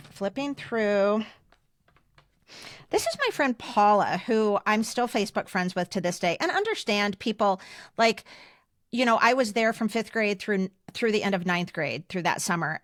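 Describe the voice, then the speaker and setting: A woman's voice: medium pitch, expressive, measured pace, fairly bright tone, dry recording. Chatty American woman, dry close sound. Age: 50s. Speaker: podcast host. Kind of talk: casual chat, reading old yearbook messages aloud.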